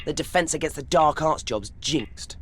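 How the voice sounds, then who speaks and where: A man's voice: fairly high pitch, moderate pace, a little hiss or hum, close to an everyday voice. A man playing an earnest, determined teenage boy wizard in a game cutscene. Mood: indignant. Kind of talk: video game cutscene acting.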